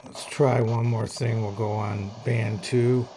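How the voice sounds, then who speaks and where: A man's voice: fairly low pitch, flat delivery, slow pace, background noise. A man giving a casual, plainspoken product demonstration as a hobbyist reviewer. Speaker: radio reviewer and hobbyist host.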